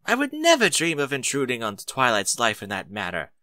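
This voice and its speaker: A man's voice: medium pitch, huge pitch swings, brisk, sharp tone, no room sound, clean studio sound. A man voicing a refined, dramatic lady unicorn pony with genteel, flowery phrasing in a storybook reading. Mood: amused, gushing.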